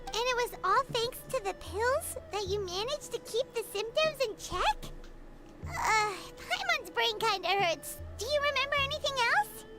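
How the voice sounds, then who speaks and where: A woman's voice: very high voice, lively intonation, moderate pace. A woman voicing a tiny, chirpy, curious childlike fairy companion in a video game. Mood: puzzled. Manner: speaks of self in third person, eager. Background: music.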